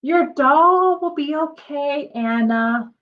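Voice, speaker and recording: A woman voicing an excitable, kind-hearted young schoolboy in a children's storybook, with bright, eager delivery. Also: high voice, slow. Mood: reassuring. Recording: dull lo-fi sound.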